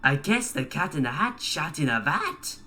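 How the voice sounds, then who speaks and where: Hot-tempered animated supervillain man, woman acting him out, odd accent, bright and forceful, prone to shrieking. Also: low-pitched, moderate pace, exaggerated voice. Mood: dramatic. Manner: panicked, hot-tempered, sweary. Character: excitable, panicky cartoon supervillain man with weird accent.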